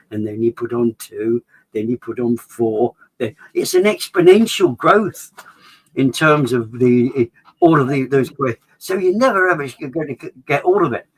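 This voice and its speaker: A man's voice: medium pitch, low-quality recording. Rambling man explaining at length. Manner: rambling, full of restarts and "sort of". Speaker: association chair, regular guest. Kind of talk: conversational explanation.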